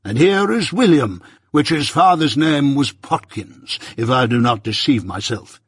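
A man voicing a pompous, self-righteous older merchant who lectures slowly and loudly in a raised, husky voice. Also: medium pitch, lively intonation, dry recording, studio quality, pitched above the performer's own voice. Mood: lecturing. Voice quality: pompous, preaching, drawn-out emphasis.

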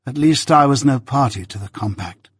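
A male narrator gives voice to a young adopted daughter in a classic novel. The speech is proud and cool, measured and unyielding, recorded dry and close. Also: mid-range voice, expressive, husky, no room sound. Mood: composed.

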